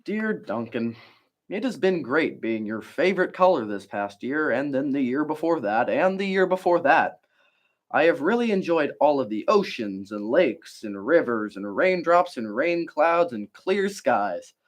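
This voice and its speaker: A man's voice: a little high, very animated, a little slow. A man voicing a weary, stubby crayon from a children's picture book, drawing out words for emphasis. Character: blue crayon, worn-out, stubby, weary favourite.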